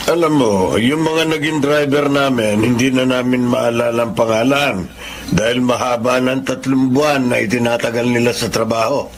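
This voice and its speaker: A man plays a benevolent, generous Filipino employer in a radio drama. His voice is medium in pitch and measured. Clear street and vehicle noise sits behind it. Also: steady tone, lightly acted. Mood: kind, appreciative. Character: kindly, generous male employer rewarding his driver. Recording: noisy background with vehicle ambience.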